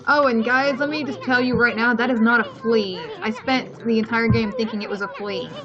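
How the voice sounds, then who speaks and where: A woman's voice: fairly high pitch, fairly even intonation, fairly quick, lo-fi sound, crowd noise. A woman co-hosting a let's play, giving casual, informal and opinionated gameplay commentary. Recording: game music behind the voice.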